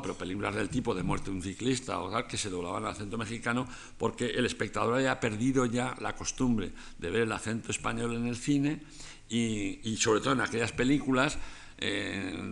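An older man from Spain gives a rambling, conversational lecture. His voice sits low and carries a gravelly fry. He circles back on his points often, restarting phrases and tossing in rhetorical questions. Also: fairly bright tone. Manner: digressive, frequent restarts and rhetorical tags.

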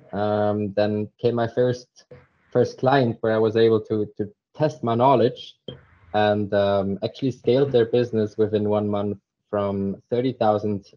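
A young man from Zurich, a podcast guest telling his story casually with many fillers. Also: on the low side. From Switzerland, Zurich. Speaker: podcast guest, crypto startup co-founder. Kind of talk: casual interview talk. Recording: dull lo-fi remote audio.

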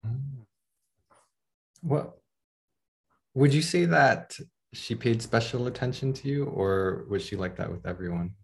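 A man's voice: mid-range voice, a little slow, long pauses. A man interviewing a guest, asking brief, curious follow-up questions.

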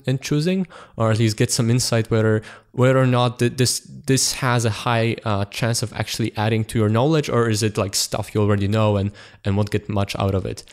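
Rambling podcast host, man. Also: medium pitch. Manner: rambling, many fillers and repeated words. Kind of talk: casual chat.

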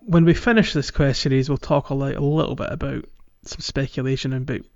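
Casual male games podcaster, medium pitch, halting conversational phrasing, slightly muffled recording, music bed. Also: fairly even intonation. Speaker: podcast host. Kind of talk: casual podcast chat about a video game.

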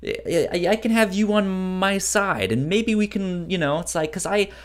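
A man co-hosting a casual book discussion, speaking haltingly with repeated words and acting out bits of dialogue. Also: sits high. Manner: animated, acts out imagined dialogue. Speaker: podcast co-host.

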